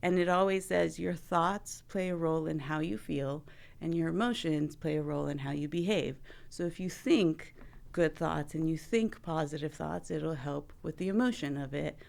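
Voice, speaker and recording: A woman's voice: low-pitched, normal speed, vocal fry, a little mellow. A woman hosting a casual podcast talk as a marriage and family therapist, chatty, saying "like" a lot and laughing often.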